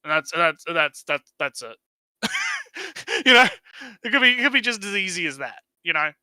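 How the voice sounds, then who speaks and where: A man's voice: sits high, huge pitch swings, moderate pace, sharp tone, no room sound, studio quality. A man hosting a reaction video, chatting casually with frequent repeats, filler words and swearing. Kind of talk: casual opinion chat.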